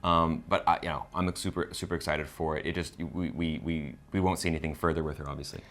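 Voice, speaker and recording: A man's voice: rumbling low, little pitch movement, fast, fairly bright tone. A man co-hosting a film review in casual chat, enthusiastic and emphatic. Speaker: co-host of a film review show.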